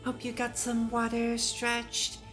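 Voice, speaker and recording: A woman livestreamer reading a visual novel aloud and chatting casually, with a giggly, expressive manner. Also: a little high, slow, faint music behind, poor audio. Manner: giggly, expressive, exclaims in reaction to the text. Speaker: VTuber streamer hosting a visual novel read-along.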